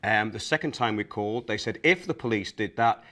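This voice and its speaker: A man's voice: lowish voice, a little fast, sharp tone. A man presenting a show, introducing guests and explaining cases. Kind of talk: presenting and interviewing.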